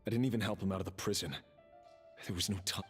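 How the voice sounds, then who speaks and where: A man's voice: low-pitched, steady tone, fast, close to an everyday voice. A man playing a brooding young warrior in a video game, speaking earnestly and theatrically as he tells his tale. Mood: tense narrating. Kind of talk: dramatic video game voice acting. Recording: background music under the dialogue.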